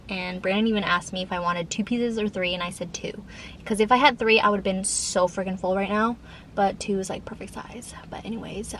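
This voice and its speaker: Female vlogger, 30, informal rambling chat, mid-pitched with a slight lift, some background noise. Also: a bit bright. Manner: informal, rambling, frequent filler "like". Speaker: lifestyle vlogger. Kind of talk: casual chat to camera.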